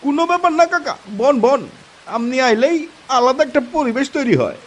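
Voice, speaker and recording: A man's voice: extremely high pitch, a little fast, pitched above the performer's own voice. A man voicing an eager, chummy young villager in an audio story. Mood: welcoming, coaxing.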